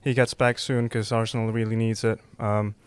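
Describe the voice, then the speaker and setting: A man giving football opinions as a show guest, relaxed and thinking aloud with frequent ums. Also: lowish voice, moderate pace, smooth, no room sound. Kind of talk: casual football chat.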